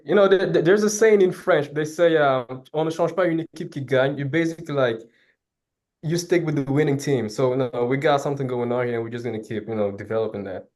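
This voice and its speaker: A young man from Senegal, a Wolof speaker, chatting as a filmmaker guest in a relaxed interview. He sounds upbeat and laughs easily, and his sentences drift into fillers and loose restarts. His voice sits at an ordinary middle pitch for a man. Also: brisk. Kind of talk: casual interview talk.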